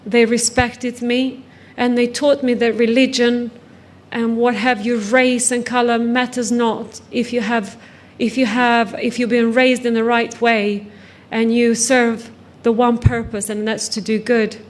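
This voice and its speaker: Higher-voiced woman giving personal testimony. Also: level tone, measured pace, few pauses. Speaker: guest speaker, trafficking survivor and author. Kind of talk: personal testimony told to a conference audience.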